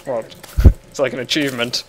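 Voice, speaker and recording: A man's voice: medium pitch, little pitch movement, normal speed, poor audio. A man with an English accent chatting and joking while playing a game, often giggling and rambling. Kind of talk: casual gaming chat.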